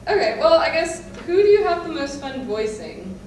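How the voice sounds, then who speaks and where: A woman's voice: high voice, very animated, normal speed, a little mellow. A woman asking questions in a casual, slightly hesitant, informal interview style. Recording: noisy, echoey room, distant mic.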